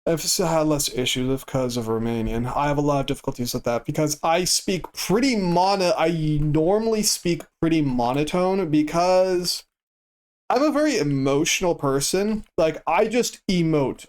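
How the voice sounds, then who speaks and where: A man streaming a game who chats casually in a flat, stoic way and swears freely. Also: a little high, moderate pace. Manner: monotone and stoic delivery. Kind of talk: casual chat while gaming.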